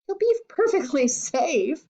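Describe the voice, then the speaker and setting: A woman's voice: sits high, big pitch swings, slow pace, mellow, voice pushed up, full character voice. A woman voicing a blithe, unflappable, eccentric man who makes sweets in a children's story, breezy, emphatic and soothing. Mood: amused. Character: blithe, eccentric, unflappable male confectionery maker.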